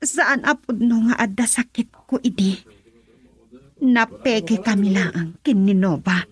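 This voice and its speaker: A woman's voice: mid-range voice, unhurried, a little mellow, slightly rough. An actress playing a distressed young woman in a radio drama, confessing and pleading urgently. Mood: guilty, pleading.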